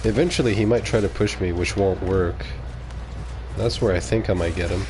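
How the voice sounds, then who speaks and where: A man whose first language is French talks through a live gaming stream in a relaxed, low-pitched voice. He reacts out loud to the action and mutters asides to his viewers. A faint hiss sits under the recording. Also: moderate pace. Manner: casual, reacting aloud to the game, muttering asides. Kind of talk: live gameplay commentary.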